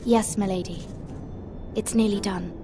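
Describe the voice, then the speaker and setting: A woman acting an earnest young noblewoman in a fantasy game. Her voice is mid-pitched, with a polite, careful delivery. Music is clearly heard underneath. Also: steady tone, measured pace, mellow, natural voice. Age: young adult. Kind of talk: dramatic video game acting.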